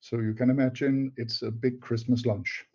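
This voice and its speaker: An older Danish man gives a webinar talk, his voice mellow and warm in tone. He speaks English as a second language, measured and a little halting. The audio is dull and lo-fi, typical of an online meeting. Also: mid-range voice, level tone, husky. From Denmark. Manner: measured, slightly halting non-native phrasing. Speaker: presenter, technology advisor. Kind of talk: webinar presentation.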